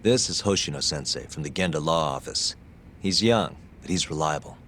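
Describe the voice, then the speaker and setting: An actor playing a cool, confident former lawyer turned detective in a video game cutscene, calm, understated and wry. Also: male voice, very deep voice, normal speed, a little hiss or hum. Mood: wry, matter-of-fact.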